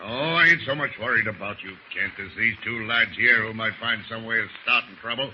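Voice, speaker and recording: An actor playing a menacing, smug old sailor villain in an old radio drama. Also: male voice, lowish voice, brisk, natural voice. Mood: threatening. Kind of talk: 1940s radio acting. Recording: dull, noisy old radio recording.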